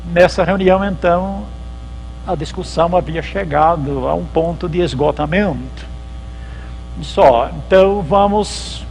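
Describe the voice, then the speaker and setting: A man's voice: sits high, vocal fry, a little mellow, slight background noise, rough recording. A man, a pastor giving a church history lecture at a symposium, telling it in a chatty way and acting out the dialogues. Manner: conversational storytelling, acts out dialogues. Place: lecture hall at a theological symposium.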